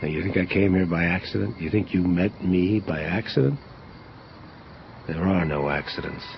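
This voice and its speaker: An actor playing a gruff, streetwise angel detective in an audio drama, blunt and clipped as he probes. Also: low voice, moderate pace, highs cut off, slight background noise. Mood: probing. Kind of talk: hardboiled audio drama dialogue.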